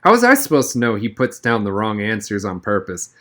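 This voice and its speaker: A man's voice: on the low side, huge pitch swings, fairly quick, fairly bright tone. A man reading a storybook as an uneasy, sheepish schoolboy hero who sounds defensive.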